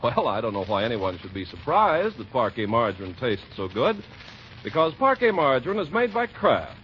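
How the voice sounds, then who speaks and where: A man announces in scripted 1940s radio advertising style. His delivery is polished and emphatic, with a lively melody. The vintage broadcast is slightly dull, with faint noise. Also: mid-range voice, normal speed.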